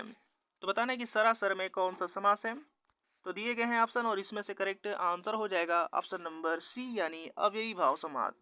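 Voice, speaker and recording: A man with a high, bright voice narrates a mock test as a teacher. His sound is crisp and dry, and he moves methodically from each question to its answer in a set pattern. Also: no echo. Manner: methodical, formulaic question-then-answer delivery. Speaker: exam-prep teacher reading quiz questions and answers.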